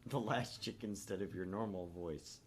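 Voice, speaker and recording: A man's voice: low voice, moderate pace, slight background noise. A man co-hosting a gaming channel, bantering casually and reading game text in a blunt, teasing way.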